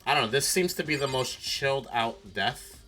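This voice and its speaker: A man's voice: medium pitch, expressive, normal speed, pushed and bright, faint music behind. A man streaming a game with casual commentary, reasoning aloud in a blunt, speculative way with casual swearing. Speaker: streamer playing a mystery game.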